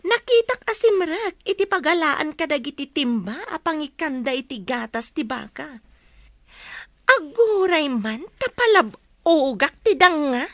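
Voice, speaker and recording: A woman's voice: high voice, big pitch swings, measured pace, fairly bright tone, no echo, clean studio sound, exaggerated voice. A woman with an Ilocano accent voicing a mischievous young girl plotting pranks in a comedy radio drama. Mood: tattling, plotting.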